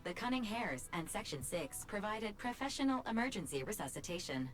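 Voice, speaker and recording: A woman voicing a polite, precise artificial intelligence assistant in a game, formal, measured and clinical. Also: medium pitch, big pitch swings, faint music behind, natural voice. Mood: calm, informative. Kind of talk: scripted game voice acting.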